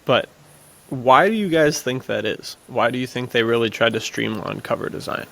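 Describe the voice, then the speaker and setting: A man's voice: medium pitch, moderate pace, creaky, sharp tone. A man co-hosting a podcast in casual, conversational talk.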